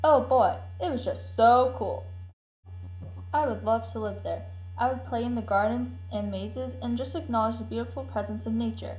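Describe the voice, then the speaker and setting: A woman's voice: on the high side, normal speed, darkish tone, close to an everyday voice, low-quality recording. A woman playing an enthusiastic child tourist telling of a family trip in a scripted puppet show. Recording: faint hum and noise.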